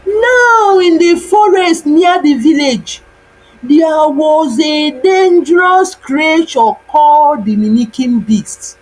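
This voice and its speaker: A woman storyteller with a high voice reads a children's folktale. Her delivery is very unhurried and deliberate, with dramatic emphasis on the telling phrases. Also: lively intonation, rough recording. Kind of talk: scripted children's folktale narration.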